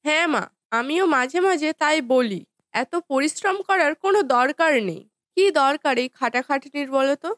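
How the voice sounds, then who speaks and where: A woman playing a dutiful, gentle young daughter-in-law in a cartoon family drama, with a deferential, soothing manner. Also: sits high, animated, normal speed, dry recording, clean studio sound. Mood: caring.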